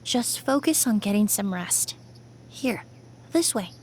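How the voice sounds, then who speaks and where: A woman voicing a calm, composed young female investigator in a video game, soft-spoken and measured. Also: medium pitch, slight background noise.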